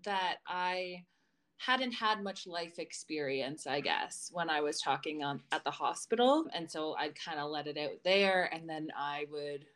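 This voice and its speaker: A woman's voice: sits low, lively intonation, a little slow, a bit bright, clear, resonant tone. A woman co-hosting a podcast in casual chat, telling personal stories in a candid, informal way with some swearing. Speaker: podcast co-host.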